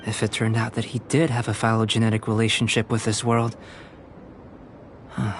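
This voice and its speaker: A man voicing a calm, detached young alchemist in an anime-style video game, speaking in a measured, precise, professorial way. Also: lowish voice, a little hiss or hum, lightly acted. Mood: composed, musing.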